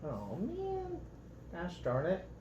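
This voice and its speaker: A man's voice: sits high, muffled recording. A man reading a children's storybook aloud expressively, with casual asides to young listeners. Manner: expressive, animated, pausing to comment to young listeners. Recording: roomy echo, faint background noise.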